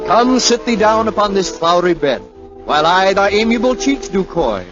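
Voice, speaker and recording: An actor playing a genteel, smooth-talking young airman who romances in mock-poetic style, 1940s radio drama acting. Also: sits high, very animated, moderate pace. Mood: romancing. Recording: muffled old radio recording with music.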